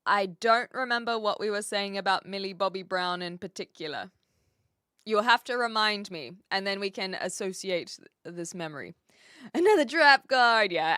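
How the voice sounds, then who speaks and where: A woman's voice: medium pitch, fairly bright tone, no room sound, studio quality. A young woman from New Zealand with a blended, British-leaning accent, chatting casually on a live stream and answering viewers' messages. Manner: chatty, rambling, laughs often, answers chat directly.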